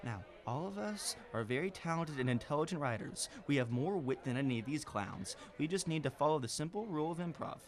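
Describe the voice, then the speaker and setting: A man's voice: a little high, lively intonation, brisk, hardly any pauses, close to an everyday voice. A young man playing a bossy, self-important improv teammate in a radio comedy, argumentative and emphatic. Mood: pompous, insistent. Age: young adult. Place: small radio studio.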